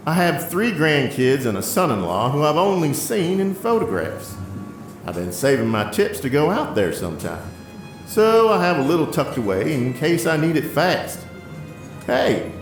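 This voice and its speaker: An actor playing a kindly, world-weary older diner owner in an audio drama, fatherly and plainspoken. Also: mid-range voice. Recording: echoey, with loud music underneath. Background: music.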